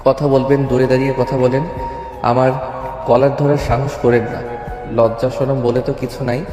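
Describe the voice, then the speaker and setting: Man acting a flat, curt young husband. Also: medium pitch, moderate pace, natural voice. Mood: stern. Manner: flat, firm, terse. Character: curt, stubborn young husband, cool and protective. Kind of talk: audio story drama. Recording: echoey reverberant hall, music underneath.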